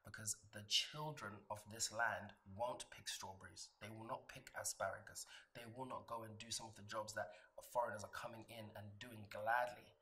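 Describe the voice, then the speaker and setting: A man's voice: on the low side, steady tone, normal speed, few pauses, bright, forceful tone, slight background noise, rough recording. A British man speaking earnestly in a documentary interview, stressing his points with repetition. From Britain. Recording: music playing under the voice.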